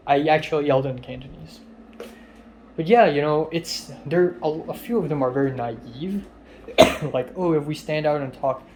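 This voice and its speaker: A man's voice: on the high side, a little mellow, slight background noise. A young man from Hong Kong talking casually in a spoken video diary, frank and rambling, with frequent fillers and swearing. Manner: rambling, frank, swears freely, many ums. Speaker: vlogger.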